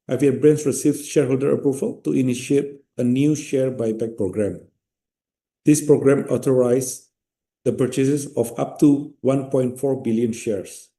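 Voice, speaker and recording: A man with an Indonesian accent giving a formal, measured company results presentation from a script. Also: medium pitch, dark tone.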